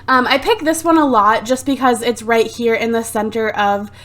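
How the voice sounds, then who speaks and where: A fairly high-voiced woman talking through a life-sim game in a loose, chatty way, full of "um" and "like". She has a sore throat and sounds slightly phlegmy. She is recorded close on a desktop USB mic. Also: steady tone, moderate pace, a bit bright. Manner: chatty and rambling, lots of "like" and "um". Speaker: let's play host. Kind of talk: casual gameplay commentary. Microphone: desktop USB microphone.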